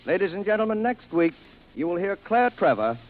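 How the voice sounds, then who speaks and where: An American man reading as a formal 1940s radio announcer, with measured phrasing. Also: high voice, very animated. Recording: tinny old radio broadcast, faint music.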